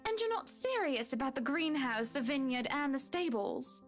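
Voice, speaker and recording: A pampered, lively rich wife, as a woman puts her on, high-voiced and soft. She talks in a breathy, genteel drawl. Faint game music plays beneath her. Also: moderate pace. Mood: breezy. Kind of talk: game character voicing.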